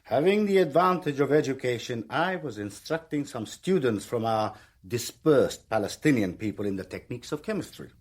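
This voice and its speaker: An actor playing a proud, pedantic Palestinian chemist who lectures precisely and formally in a radio drama. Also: male voice, mid-range voice, lively intonation, moderate pace, few pauses, close to an everyday voice. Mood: defensive, proud. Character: pedantic Palestinian chemist secretly teaching bomb-making, proud.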